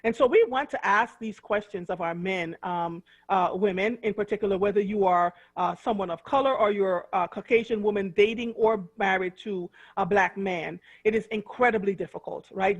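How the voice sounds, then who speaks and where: Husky-voiced woman radio host. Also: medium pitch, lo-fi sound. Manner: earnest, emphatic, with frequent fillers. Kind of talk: reading a news article aloud, then commenting.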